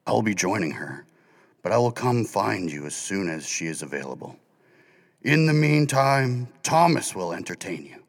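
Saga, a gravelly creature guide. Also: male voice, sits low, big pitch swings, normal speed, in a large hall, full character voice. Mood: teasing.

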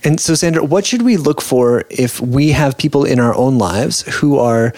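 A man's voice: medium pitch, animated, normal speed, a little mellow, no echo, clean studio sound. A man hosting a post-show interview, speaking in a scripted, presentational style. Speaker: host.

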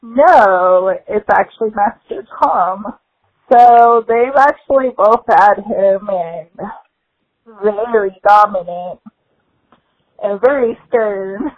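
A woman's voice: medium pitch, slow, highs cut off. A woman answering interview questions casually, with shy little laughs. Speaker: guest.

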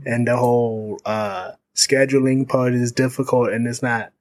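A man who hosts a podcast, chatting casually about his plans in a relaxed, halting way with slang. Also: medium pitch. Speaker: podcast host being interviewed. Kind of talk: casual chat.

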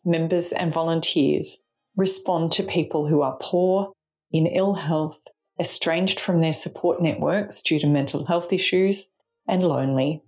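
An Australian woman reading a scripted spiritual reflection in a measured, reflective way. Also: low voice, a little mellow. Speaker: reflection speaker, charity mission partner.

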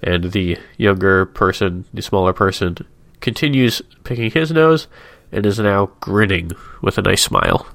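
A fairly low-voiced man with a stuffy nose chats on a podcast, wry and rambling, easily amused by his own asides. The sound is dry and close, with no room around it. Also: moderate pace, vocal fry, a bit bright, dry recording. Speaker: podcast co-host. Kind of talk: casual chat, describing a comic.

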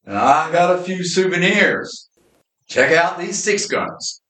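A man acts out a world-weary supernatural detective from an old-time radio serial. His voice sits on the high side and carries dry wisecracks. The recording is amateur and noticeably roomy. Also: expressive, slow, sharp tone, a little hiss or hum, exaggerated voice. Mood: weary, wry.

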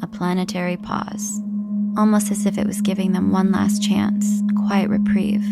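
An actress narrating a brooding audio drama as its storyteller, in a measured, lyrical manner. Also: female voice, on the low side, gravelly. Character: brooding storyteller of a 1950s coming-of-age tale. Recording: loud music behind narration.

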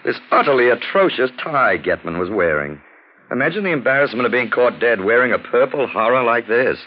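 An actor playing a witty, erudite amateur detective in a 1940s radio drama, urbane and wry with elaborate diction. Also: male voice, mid-range voice, big pitch swings, a little fast, close to an everyday voice. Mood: wry, teasing. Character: suave, witty, erudite male amateur detective, lightly mocking. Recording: dull, noisy old radio recording.

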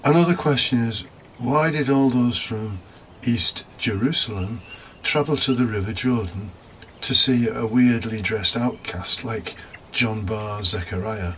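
A man's voice: medium pitch, measured pace, hardly any pauses, a bit bright, rough recording. A man preaching a homily as a deacon, reflective, partly scripted with informal asides and rhetorical questions. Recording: faint background noise.

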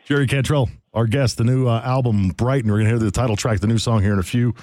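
A man's voice: mid-range voice, fairly even intonation, fast, a little mellow, dry recording, clean studio sound. A man hosting a radio interview in casual, slangy, informal chat.

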